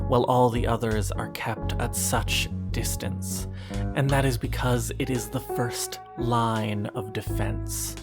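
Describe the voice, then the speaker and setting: A performer playing a wistful, brooding narrator who addresses a former lover in slow, poetic, deliberate horror-drama narration. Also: medium pitch, creaky. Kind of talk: scripted second-person horror audio drama narration.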